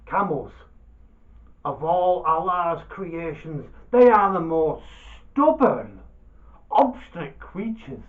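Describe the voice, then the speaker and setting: A man voicing a greedy, blustering camel driver who feigns concern, with emphatic, drawn-out pleading in a storybook telling. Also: on the high side, sing-song, narrow, tinny audio, voice pushed up. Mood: wheedling, insistent.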